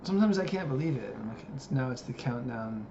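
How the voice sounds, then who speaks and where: Man, late thirties, reflective vlogger, hesitant fillers, dull lo-fi sound with steady background noise. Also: medium pitch, brisk. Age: 30s. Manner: musing, hesitant, many fillers. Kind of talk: reflective personal monologue. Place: living in Japan.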